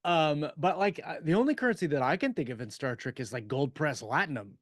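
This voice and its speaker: Halting man in a dry, close recording. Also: fairly high pitch, expressive, dry recording. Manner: halting, tentative, thinks aloud. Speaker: podcast co-host, science fiction author. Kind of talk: casual chat about writing craft.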